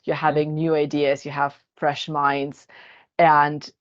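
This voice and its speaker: A woman's voice: low-pitched, completely flat delivery, takes it slowly, dull audio. A woman who grew up speaking Russian, hosting a podcast discussion and speaking reflectively at length. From Kazakhstan. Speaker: podcast host. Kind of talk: casual panel discussion.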